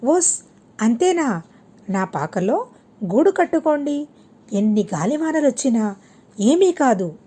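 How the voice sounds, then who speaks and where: A friendly storybook donkey, voiced by a woman, wistful and a little envious of others. The voice is fairly high and mellow, and it speaks very slowly. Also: big pitch swings, breathy edge, heavily acted. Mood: reassuring. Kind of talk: children's storybook reading.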